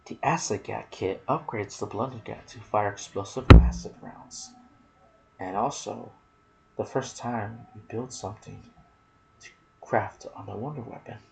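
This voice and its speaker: Male ASMR gaming narrator, lowish creaky voice, soft near-whisper delivery, reflective asides, audible noise underneath. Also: measured pace. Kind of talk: ASMR game lore narration with casual asides.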